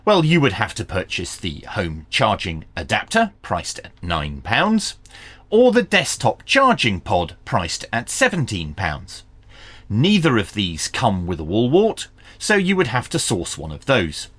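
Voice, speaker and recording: Male product reviewer for an unboxing. Lower register, animated intonation, informal and frank delivery. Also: measured pace, slightly rough. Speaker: reviewer and presenter of radio gear.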